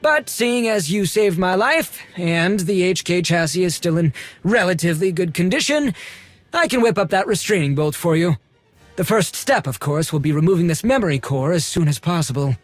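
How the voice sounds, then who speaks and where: An actor playing a fussy, haughty collector and droid restorer in a video game, with a prim, formal manner. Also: male voice, high-pitched, lively intonation, normal speed, dry recording, soft background music. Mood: fussy, businesslike.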